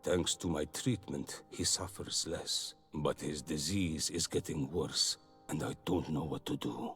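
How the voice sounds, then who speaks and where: An actor playing a solemn, kindly and wise native healer in a fantasy video game, with a husky voice. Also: male voice, a bass voice, a little slow, fairly bright tone, faint music behind, heavily acted. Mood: grave, gentle. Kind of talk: video game cutscene acting.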